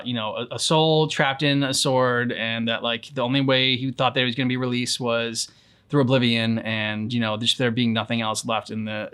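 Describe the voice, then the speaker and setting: A man co-hosting a casual reaction chat, rambling and halting, with some casual swearing. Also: medium pitch, a bit bright. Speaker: reaction channel co-host.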